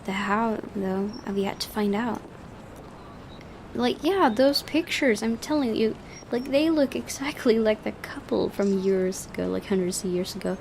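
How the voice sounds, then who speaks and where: A woman's voice: medium pitch, unhurried, vocal fry, a little mellow, a little hiss or hum. A woman hosting a gaming video, reading visual novel narration aloud and adding casual commentary.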